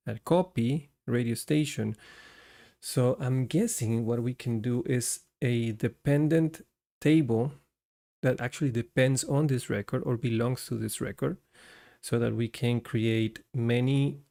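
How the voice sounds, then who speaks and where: Man from Costa Rica, live coding host, slow, even-pitched delivery, narrating his steps aloud. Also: gravelly. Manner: talks through code as he works, chatty with viewers.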